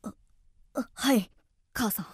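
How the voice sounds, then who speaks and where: A woman playing an earnest, vengeful teenage boy in a radio drama, intense and halting. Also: no echo, clean studio sound, lightly acted. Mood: shaken. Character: earnest vengeful teenage son of a murdered swordsmith. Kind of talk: theatrical radio drama acting. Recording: music behind the voice.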